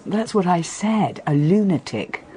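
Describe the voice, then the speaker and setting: A woman's voice: lowish voice, expressive, unhurried, warm tone, a little hiss or hum. An actress playing a blunt, inquisitive, self-assured British woman sleuth in a radio detective drama.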